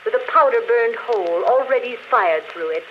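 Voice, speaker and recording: An actress playing an unrepentant murderess confessing in an intimate, matter-of-fact way, in 1940s radio drama style. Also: female voice, on the high side, animated, normal speed, close to an everyday voice. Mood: calm, unrepentant. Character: murderess confessing on a phonograph record, coolly unrepentant. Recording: dull, noisy old radio recording.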